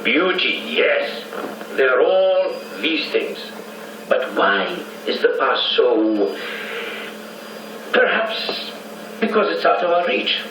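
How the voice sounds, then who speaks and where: A man's voice: on the high side, very animated, recorded from a distance. Dignified statesman, very echoey. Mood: reflective. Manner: measured, eloquent. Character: dignified, proud Egyptian male statesman, philosophical and quietly defiant. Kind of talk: 1990s radio drama acting. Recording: very echoey radio copy, faint noise.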